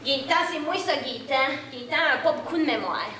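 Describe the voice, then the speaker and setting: A woman's voice: high voice, lively intonation, far from the mic, background noise. A woman with a Quebec French accent improvising playful character sketches in an echoey room, recorded from afar. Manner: playful, quick character switches. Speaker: improv performer. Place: indoor improv camp room.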